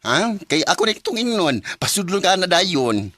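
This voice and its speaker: A chatty, streetwise female friend, acted by a woman, with a bright and very animated voice. The delivery is Filipino radio drama, recorded dry. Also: lowish voice, normal speed, dry recording. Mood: chatty, helpful.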